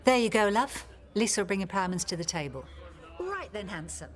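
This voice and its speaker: A woman's voice: medium pitch, very animated, brisk, close to an everyday voice. An actress playing a flirtatious, welcoming village pub landlady in a radio soap. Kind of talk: radio soap opera acting. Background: crowd chatter.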